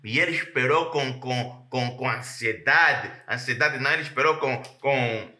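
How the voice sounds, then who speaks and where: A man's voice: medium pitch, measured pace, pushed and bright, roomy sound. A male pastor preaching a sermon, emphatic and often repeating words as he searches for the next phrase.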